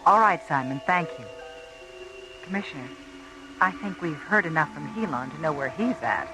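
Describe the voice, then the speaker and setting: An actress playing a brisk, decisive doctor on a space station in a science fiction radio drama. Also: female voice, low voice, lively intonation, music in the background, poor audio.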